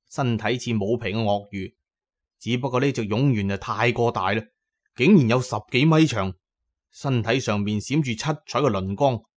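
A man with a Cantonese accent narrates an adventure audio drama in an expressive, suspenseful storytelling style. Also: mid-range voice. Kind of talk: dramatic scripted storytelling in the first person.

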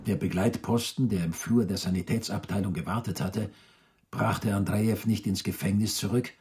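An actor narrating prison camp life in a sober, measured, literary radio drama style. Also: male voice, on the low side, fairly even intonation, a little mellow, breathy edge. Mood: sober, grave. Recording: studio voice over guitar music.

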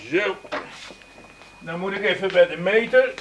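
A man's voice: high-pitched, measured pace, heavy background noise. An actor in a radio drama playing a breezy, jokey but unbending utility man, offhand, folksy and patronising. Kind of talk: naturalistic Dutch radio drama acting.

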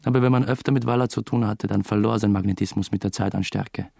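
An actor playing an uneasy, wry first-person narrator in a radio drama. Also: male voice, fairly low pitch, steady tone, fast, creaky, warm tone, breathy edge, no echo, clean studio sound, heavily acted. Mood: wry, resentful. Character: uneasy, wry male narrator, a jealous hapless suspect.

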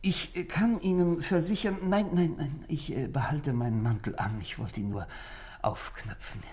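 A man's voice: fairly high pitch, moderate pace, a little mellow, lightly acted. An actor playing a nervous, fussy salesman of around forty in a 1960s German radio play, hesitant, stammering and very apologetic. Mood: flustered, apologetic. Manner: hesitant, stammering, over-polite, flustered.

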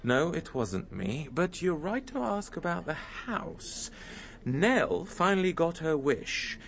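Urbane Englishman, high animated voice. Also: slow pace, vocal fry, slight background noise, full character voice. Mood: grave, dry. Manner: articulate, dramatic storytelling. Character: Sebastian, urbane English man recounting a lost love. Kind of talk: radio drama narration and dialogue.

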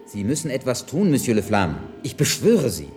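An alarmed, insistent official in a radio play. His mid-pitched male voice moves up and down a lot, with music underneath. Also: wildly swooping pitch, normal speed, a little mellow. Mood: urgent pleading. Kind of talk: German radio play acting.

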